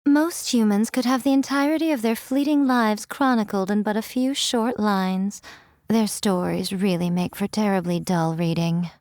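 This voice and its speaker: A woman's voice: mid-range voice, steady tone, moderate pace, darkish tone, no echo, studio quality, close to an everyday voice. A woman voicing a sly, ageless fox spirit shrine maiden in a game, with a teasing, languid, theatrical and self-assured manner. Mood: bored, haughty. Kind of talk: scripted game character voice lines.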